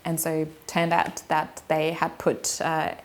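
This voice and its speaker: A young woman from Afghanistan, in her twenties, tells her own story in an easy, casual way. Her voice sits a little low, and she talks with plenty of ums and likes and the odd soft laugh. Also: completely flat delivery, measured pace. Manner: chatty, hesitant, many ums and likes, laughs lightly.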